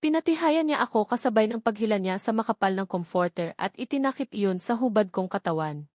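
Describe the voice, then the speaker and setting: A woman narrates a romance novel in the first person, reading from a script. Her voice sits at an average pitch. The sound is dry, with no room echo. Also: animated, a little fast, a little mellow. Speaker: audiobook narrator.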